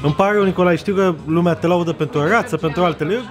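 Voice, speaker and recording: A man's voice: high voice, over music. A young man telling a story to the camera in a casual vlog, joking and laughing often. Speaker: vlogger. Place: living room, seated on a sofa.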